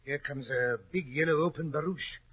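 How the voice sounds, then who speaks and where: An actor playing Doctor Watson, a hearty, puzzled, exclamatory English gentleman, in 1940s radio drama style. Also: fairly high pitch, normal speed, breathy edge, highs cut off. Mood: curious, excited. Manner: blustery, exclamatory. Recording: old 1940s radio recording.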